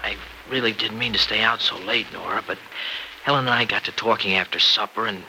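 An American actor playing a tired, sheepish, earnest working husband, hesitant and colloquial, in 1940s radio soap style. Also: male voice, fairly low pitch, steady tone, a little fast, lightly acted. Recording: noisy vintage radio recording.

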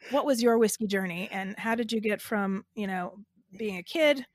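A woman's voice: medium pitch, moderate pace, no echo. Female podcast co-host, dry close sound, enthusiastic, conversational.

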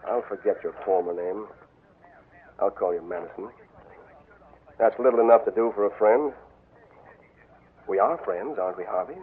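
A sly, silky card sharp who toys with his victims. His voice sits low and husky, coming through a tinny old radio broadcast. Every line drips with mocking courtesy. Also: moderate pace, pauses often. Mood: scheming. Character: smooth scheming male gambler blackmailer. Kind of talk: 1940s radio acting.

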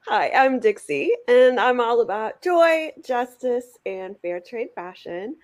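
A woman's voice: a little high, lively intonation, takes it slowly. A woman podcast co-host reading sponsor notes and introductions in an upbeat, welcoming way. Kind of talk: scripted podcast intro and hosting. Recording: music bed under the voice.

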